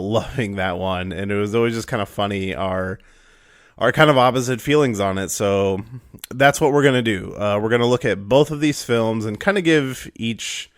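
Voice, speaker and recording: Guy with a cold hosting his movie podcast, raspy and hoarse, lowish voice, dry sound, lots of uh's. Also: no room sound. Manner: rambling with many fillers and restarts. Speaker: podcast host. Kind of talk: casual podcast intro.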